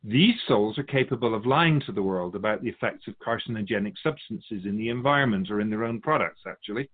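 A man speaking as a spiritual guide, explaining things in a measured, didactic, conversational way. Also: lowish voice, narrow, tinny audio.

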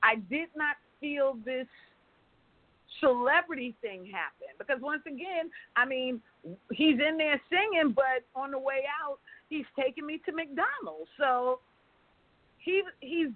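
A woman's voice: high-pitched, a little slow, no echo. A woman speaking as an interview guest, telling stories in a casual, conversational way over a phone line. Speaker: interview guest, company founder. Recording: dry, tinny phone line.

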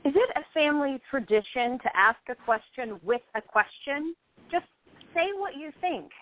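A woman's voice: sits high, animated, normal speed, no echo. A woman acting the part of a depressed, envious, defensive actress of almost thirty in a radio play. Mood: gloomy, sardonic. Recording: thin phone-like audio.

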